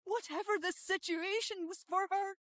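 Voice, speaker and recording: Very high-pitched female narrator. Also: fairly even intonation, moderate pace, fairly bright tone, no echo, studio quality. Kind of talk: scripted narration.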